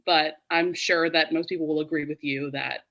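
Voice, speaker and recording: A woman with a bright, lively voice hosts an informal book chat. Her manner is giggly and animated, with loose, conversational phrasing. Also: sits low, normal speed. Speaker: host of a book readalong live show.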